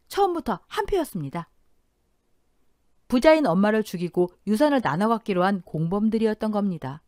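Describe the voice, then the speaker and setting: A woman in her thirties tells a dark family drama in the first person, her voice rising and falling in wide, lively swings. The delivery is intense and emotional. The sound is close and dry, with no room around it. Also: medium pitch, no room sound. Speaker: narrator of a first-person story. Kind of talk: dramatic audio-drama storytelling narration.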